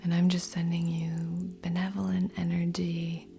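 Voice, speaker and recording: Female ASMR Reiki guide: breathy whisper, very level pitch, gentle and unhurried, over clearly audible music. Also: monotone. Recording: soft music under voice. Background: background music.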